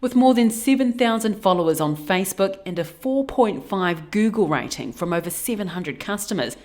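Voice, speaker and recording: A woman's voice: medium pitch, animated, normal speed, darkish tone. A woman with a New Zealand accent narrating a scripted news feature as a reporter.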